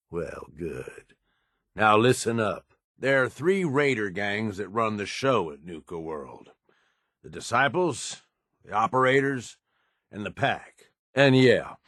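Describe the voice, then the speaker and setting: An actor playing a gruff, cynical middle-aged raider in a video game, with an American accent and a blunt, folksy, profane way of talking. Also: male voice, lowish voice, fairly bright tone, no room sound, studio quality, full character voice. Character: gruff, cynical middle-aged raider, plain-spoken and loyal to his boss. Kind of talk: video game companion dialogue.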